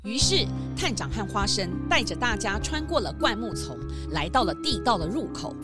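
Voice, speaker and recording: A woman narrating a children's audio story in an expressive storytelling style. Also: mid-range voice, normal speed, loud music behind the voice.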